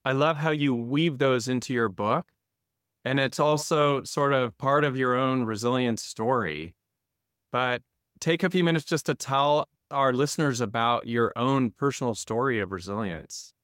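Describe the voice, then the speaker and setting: A man's voice: mid-range voice, unhurried, no room sound, clean studio sound. A man hosting a podcast interview, reading the introduction and asking questions in an encouraging way. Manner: warm, encouraging, reads intro smoothly. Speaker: podcast host.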